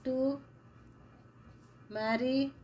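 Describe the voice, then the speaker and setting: A woman teacher giving a slow lecture, repeating key phrases as she explains. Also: high-pitched, steady tone, a little mellow, a little hiss or hum. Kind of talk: classroom lecture.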